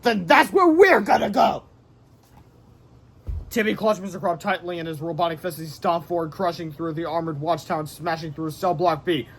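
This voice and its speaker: High-pitched man reading a storybook aloud. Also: fast talker, hardly any pauses. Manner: animated, stumbles and self-corrects. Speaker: storybook reader. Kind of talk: children's book read-aloud narration.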